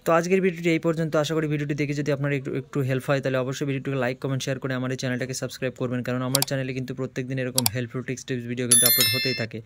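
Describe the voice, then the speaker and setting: A man's voice: medium pitch, flat delivery, racing, few pauses, slight background noise. A man hosting a tech tips video, explaining phone steps one by one in a repetitive tutorial style. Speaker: host of a tech tips channel.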